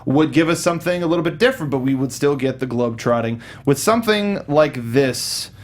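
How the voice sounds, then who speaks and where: A man's voice: on the high side, animated, fairly quick. A man hosting a casual film review discussion, rambling and repeating his words as he acts out mock dialogue. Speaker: film review show host.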